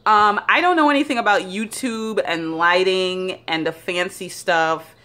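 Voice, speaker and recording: A woman's voice: medium pitch, a little slow, pushed and bright. A woman in her thirties from Jamaica, chatting casually and rambling a little as she films herself on a phone held at arm's length. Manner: chatty and rambling, with many fillers. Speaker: founder of a beauty brand. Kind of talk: casual vlog talk. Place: bathroom by a bright window.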